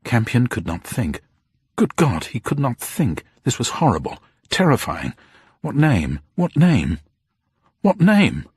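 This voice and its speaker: A man's voice: mid-range voice, wildly swooping pitch, slow, darkish tone, husky, no room sound, studio quality. A man reading a detective story as a dramatic scripted narration. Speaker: audiobook narrator.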